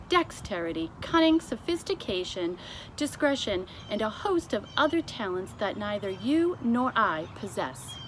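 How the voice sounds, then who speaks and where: A woman reading a children's story aloud as scripted narration, with deliberate, expressive phrasing. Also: mid-range voice. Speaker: storybook reader. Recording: clearly noisy amateur recording.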